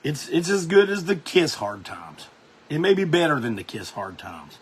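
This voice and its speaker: A man's voice: a little high, big pitch swings, normal speed, a little hiss or hum. A man hosting a music show, talking casually and enthusiastically about records, rambling with lots of restarts.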